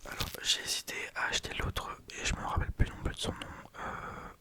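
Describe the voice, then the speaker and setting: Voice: brisk, bright, forceful tone. A young adult vlogger whispering a rambling vinyl haul late at night, tired and often correcting themselves.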